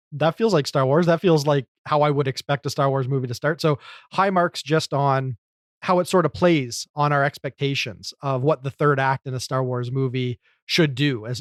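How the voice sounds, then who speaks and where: A man with a somewhat high voice talks through his thoughts as a podcast co-host. His delivery is chatty and rambling, in a casual commentary style, and the sound is dry and close. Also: dry recording.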